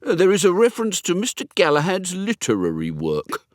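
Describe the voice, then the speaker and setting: A man's voice: high-pitched, huge pitch swings, a little fast, no echo, clean studio sound, pitched above the performer's own voice. A man voicing a dignified, austere English butler with a formal, deferential manner in a comic audiobook. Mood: measured, confiding. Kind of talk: comic audiobook character acting.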